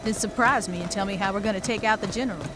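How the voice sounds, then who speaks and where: A woman's voice: mid-range voice, racing, over music, lightly acted. A woman playing a tough, foul-mouthed female gang boss in a video game, blunt and dry. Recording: game audio with background noise.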